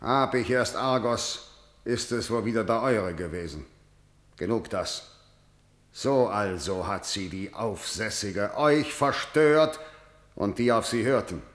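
A man's voice: mid-range voice, takes it slowly, a bit bright. A middle-aged tyrant in a verse radio play. He declaims with pride and harsh command in a medium male register, and the room echoes around the voice. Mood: defiant. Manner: declamatory, imperious. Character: proud, harsh middle-aged tyrant defending his war. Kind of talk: stage-like verse radio drama.

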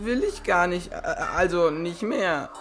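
Big dumb teen bully, grunty and slow, lowish voice, music under it. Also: female voice, animated. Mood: flustered. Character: big dim-witted teenage boy bully.

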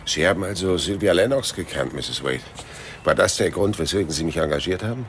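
An actor playing a terse, sardonic, loyal private detective in a German radio crime drama, with a dry, laconic delivery. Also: male voice, sits low, animated, fairly quick, slight background noise. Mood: curt, controlled. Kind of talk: German radio crime drama, dialogue and first-person narration.

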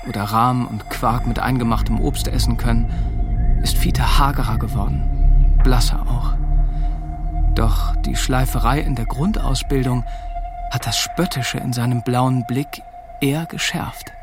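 A man's voice: mid-range voice, steady tone, a little slow, lightly acted. Wary young soldier, played by a man, music behind. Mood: observant, sombre. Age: young adult. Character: wary, sharp-witted teenage male soldier. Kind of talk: German radio play acting and narration.

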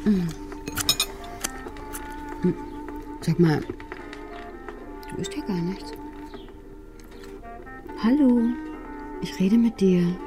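An actress playing a cool, knowing, sardonic investigator in a naturalistic German radio crime drama. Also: female voice, mid-range voice, drawn-out words, long pauses, music in the background. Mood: casual, needling.

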